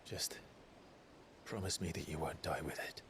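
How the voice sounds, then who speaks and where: A steady, protective warrior from a video game speaks low and quietly, measured and calm. His voice is very deep. Clear ambient noise surrounds him. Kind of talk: video game cutscene acting.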